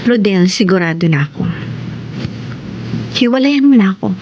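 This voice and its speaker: A woman's voice: medium pitch, animated, normal speed, highs cut off, noisy background, lightly acted. An actress playing a heartbroken, self-sacrificing wife in her thirties in a Filipino radio drama, with an earnest, tender delivery. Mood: sorrowful, resigned. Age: 30s. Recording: music under the voice.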